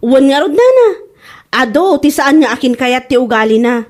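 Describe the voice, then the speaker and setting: Actress as gossiping neighbour, Ilocano accent, comic drama, high-pitched, animated, exclamatory delivery. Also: female voice, moderate pace. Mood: gossipy complaint. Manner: exclaiming, chatty. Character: excitable gossiping neighbour woman, chatty and credulous. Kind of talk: Ilocano comedy drama acting.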